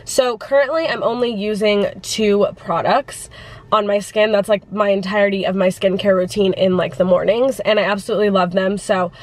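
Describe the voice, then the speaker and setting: Congested female vlogger, chatty and filler-heavy, mid pitch. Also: steady tone, moderate pace, poor audio. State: congested from the flu. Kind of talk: casual vlog chat.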